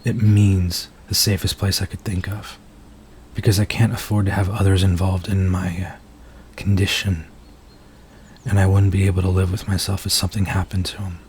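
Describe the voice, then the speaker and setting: Guy doing a soft-spoken nervous male werewolf for asmr, hesitant and earnest, pretty even tone, bit of background noise. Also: low voice, moderate pace, lightly acted. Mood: apologetic, earnest. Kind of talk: ASMR roleplay, intimate dramatic monologue.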